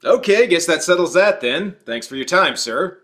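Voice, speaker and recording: A man hosting a comedic movie review, narrating the plot with sarcastic deadpan quips. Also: high-pitched, moderate pace.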